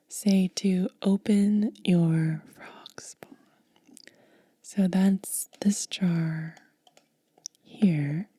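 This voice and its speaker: A woman whispering in soft-spoken ASMR style, gently and unhurriedly explaining each step close to the microphone. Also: on the low side, dry recording. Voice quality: breathy whisper. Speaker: ASMR content creator.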